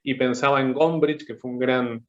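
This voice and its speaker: A man speaks on a panel discussion, slowly working his thoughts into words. His pitch stays flat and steady. The video call audio is dull and lo-fi. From Uruguay. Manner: thoughtful, halting, searching for words. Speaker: artist guest.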